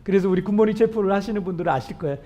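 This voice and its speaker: A male pastor preaching a sermon in an earnest, emphatic way, often putting questions to the congregation. His voice is weakened and hoarse and cracks on high notes. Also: racing, dark tone.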